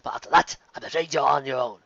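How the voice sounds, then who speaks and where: A man voicing a fat old stallion bartender in a storybook, with a deep brassy, folksy, hospitable manner. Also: fast, gravelly, fairly bright tone, no echo, dull audio. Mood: apologetic. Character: corpulent old stallion bartender, gruff but welcoming.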